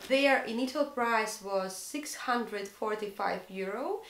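A woman's voice: fairly high pitch, slow, reverberant, background noise. A young woman from Russia with a Russian accent, chatting earnestly in a fashion haul vlog from her bedroom, sometimes searching for English words. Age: young adult. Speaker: fashion vlogger. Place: bedroom, indoors.

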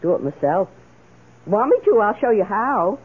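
Excitable, swooping, eager lady, old radio. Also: medium pitch, moderate pace, husky. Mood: cheerful. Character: excitable, eager, somewhat anxious woman friend. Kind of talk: radio drama acting. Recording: muffled old radio broadcast recording.

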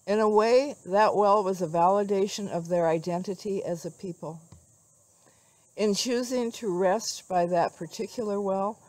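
An older woman giving a devotional talk and scripture reading in a measured, reflective way. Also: medium pitch, darkish tone, dry recording, rough recording. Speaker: devotional speaker. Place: outdoors among trees.

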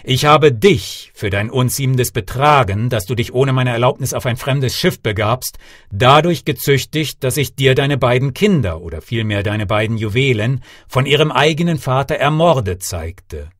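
A man's voice: mid-range voice, animated, normal speed, fairly bright tone, no room sound, studio quality. A man as a solemn, authoritative sorcerer grandfather. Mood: stern. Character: stern sorcerer father, grandfather, punishing then forgiving.

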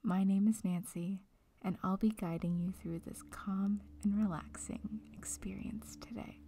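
A woman leading a guided meditation in a soft, breathy, near-whispered voice, calm and gentle, leaving long pauses. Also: medium pitch. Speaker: meditation guide, breakup coach. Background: music.

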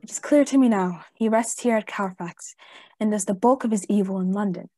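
A solemn, insistent professor who hunts vampires played by a woman speaks in a breathy, dark voice. The delivery is deliberate and emphatic radio-play acting, recorded close and dry. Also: medium pitch, no room sound, close to an everyday voice. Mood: grave, assured. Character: grave, insistent vampire-hunting professor Van Helsing.

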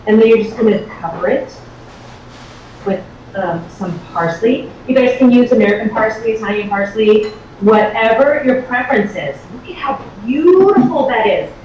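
A woman with a high voice talks viewers through cooking in an informal, excitable, chatty way. She sounds set back from the microphone in a kitchen. The sound is slightly dull, with strong room echo. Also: moderate pace. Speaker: host of a live cooking show. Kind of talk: casual live cooking demonstration. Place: home-style kitchen, indoors. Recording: very echoey, distant, dull, faint noise.

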